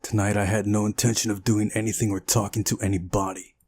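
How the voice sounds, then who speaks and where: A man's voice: lowish voice, little pitch movement, fast talker, a little mellow, husky. A man hosting a visual novel playthrough, reading the game's narration aloud and adding casual commentary.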